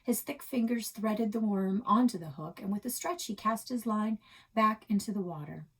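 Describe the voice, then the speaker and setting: A woman reading a children's novel aloud in an expressive storytelling style. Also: mid-range voice, moderate pace, slightly rough. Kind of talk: expressive read-aloud narration.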